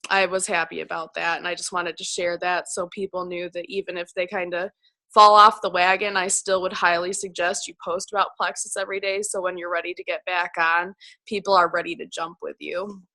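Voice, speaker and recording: A woman's voice: on the low side, level tone, a little fast, sharp tone. A woman with a cold, her voice scratchy, chatting in a rambling way on a video call. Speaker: team member on a call. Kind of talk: casual call chat.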